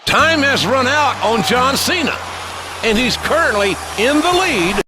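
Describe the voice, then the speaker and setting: A man's voice: extremely high pitch, expressive, measured pace. A man voicing a play-by-play wrestling commentator in a video game, with emphatic announcer delivery. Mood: announcing. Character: booming male ring announcer commentator. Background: game music.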